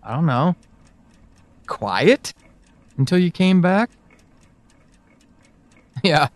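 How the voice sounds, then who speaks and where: A man playing an anxious, pleading character in an audio drama monologue, speaking earnestly and haltingly. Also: a little high, lively intonation, no room sound. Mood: hurt, hesitant. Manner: halting, earnest, with pauses and emphatic swings. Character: anxious, pleading man reaching out to a lost loved one.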